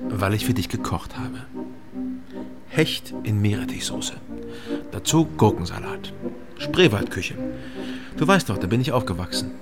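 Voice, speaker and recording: An actor playing a guarded, sober investigator who narrates a radio crime drama in an understated, matter-of-fact way. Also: male voice, lowish voice, lively intonation, unhurried, vocal fry, long pauses. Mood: dry, friendly. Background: music.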